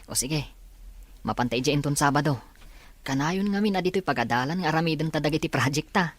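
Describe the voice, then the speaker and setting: A woman's voice: deep voice, expressive, a little fast, dry recording. A woman with a Filipino accent acting a friendly teenage schoolmate in a radio drama.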